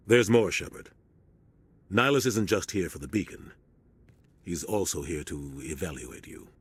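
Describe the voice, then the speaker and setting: A deep-voiced steady starship captain. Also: male voice, measured pace, breathy edge, exaggerated voice. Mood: earnest. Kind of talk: video game cutscene acting.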